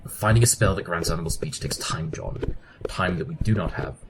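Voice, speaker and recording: A man's voice: low voice, a little fast, vocal fry, heavy background noise. A man playing a brilliant, tactless pony detective in an audio drama, clipped and pompous. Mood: impatient, haughty.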